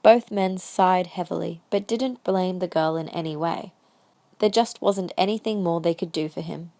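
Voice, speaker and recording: A woman's voice: lowish voice, normal speed, dark tone. A woman reading scripted story narration as the narrator of a fan audio drama.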